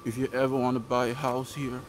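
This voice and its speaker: A man giving a casual, unscripted walking commentary as a vlogger, speaking haltingly in short fragments. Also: medium pitch, monotone. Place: outdoors walking along a residential street. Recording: outdoor street noise, passing vehicles.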